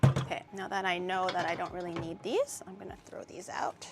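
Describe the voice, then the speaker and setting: A woman's voice: lowish voice, little pitch movement, fairly quick, loud music behind the voice. A woman VTuber chatting casually while cooking in her home kitchen, blunt and profane, murmuring as she tastes the food. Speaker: streamer and VTuber. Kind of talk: casual cooking livestream chat.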